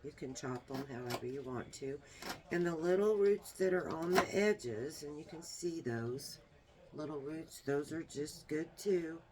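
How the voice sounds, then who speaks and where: A woman with a small, soft voice, demonstrating a herbal remedy in a homey, unhurried way while she works. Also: deep voice, heavy background noise. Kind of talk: casual how-to demonstration.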